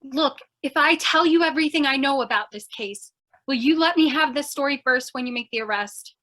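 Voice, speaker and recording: A woman's voice: high voice, brisk, a bit bright. A woman playing a plucky, scatterbrained young newspaper reporter in an old-time radio comedy mystery, flustered and eager. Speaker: voice actor.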